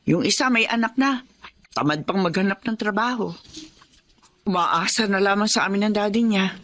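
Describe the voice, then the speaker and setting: An actress playing a weary middle-aged Filipino mother, envious and disappointed, in radio drama style. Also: medium pitch. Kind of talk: Tagalog radio drama acting.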